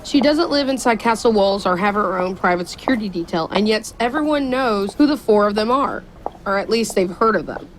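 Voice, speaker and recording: An actress playing a composed, discreet young royal woman in an audio drama, measured and poised. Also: mid-range voice, animated, natural voice, low-quality recording. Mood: thoughtful. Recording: clear background noise.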